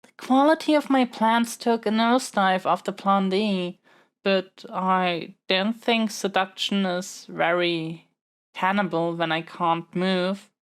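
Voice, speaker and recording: Woman acting a slow-spoken, theatrical scheming young princess. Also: mid-range voice, fairly even intonation, smooth, lightly acted. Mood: scheming, conceding. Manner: theatrical, formal phrasing with halting pauses. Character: stubborn, scheming captive young princess, melodramatic and wheedling. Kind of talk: scripted solo audio roleplay.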